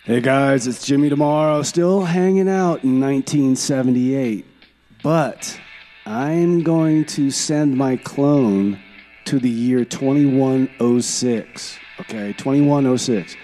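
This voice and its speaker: Casting: male actor as laid-back time-traveling caller, soft mellow tone, offhand rambling, faint guitar music underneath. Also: medium pitch, takes it slowly, natural voice. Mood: casual, rambling. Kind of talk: casual comedy radio monologue.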